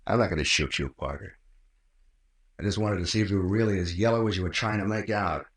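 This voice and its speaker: An actor playing a bold, shrewd captain, mocking and self-assured, in 1940s radio mystery style. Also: male voice, low-pitched, fast talker, natural voice. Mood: taunting. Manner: commanding, taunting, self-assured. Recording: echoey old-time radio recording.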